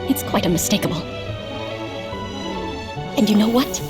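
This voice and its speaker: A woman's voice: medium pitch, lively intonation, moderate pace, music in the background, lightly acted. A woman playing an elderly lady in a video game cutscene, tender, wise and knowing. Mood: grateful.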